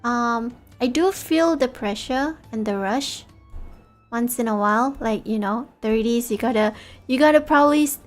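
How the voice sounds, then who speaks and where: A woman in her thirties, a native speaker of English and Malay, chatting reflectively with viewers late at night, rambling and correcting herself as she goes. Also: high-pitched. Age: 30s. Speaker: VTuber streamer.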